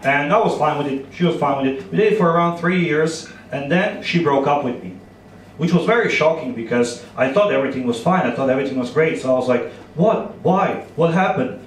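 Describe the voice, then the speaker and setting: A man in his thirties from Croatia with a Croatian accent, doing an animated, playful stand-up set on a club stage with a handheld mic. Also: on the high side, distant mic, a little hiss or hum. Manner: animated, playful storytelling with quick asides. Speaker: stand-up comedian. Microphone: handheld microphone. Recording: echoey club, audience laughter. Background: audience laughter.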